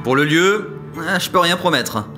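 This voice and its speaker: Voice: medium pitch, expressive, moderate pace, pushed and bright. A performer playing a frank, harried ensign who runs a time machine in a comic audio drama, blunt and informal. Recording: music behind the voice.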